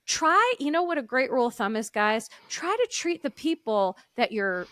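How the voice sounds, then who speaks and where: Loud chatty woman co-hosting a podcast, kinda high voice, dry close sound. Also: moderate pace, no room sound. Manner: animated and opinionated, frequent "like". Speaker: podcast co-host. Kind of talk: casual chat.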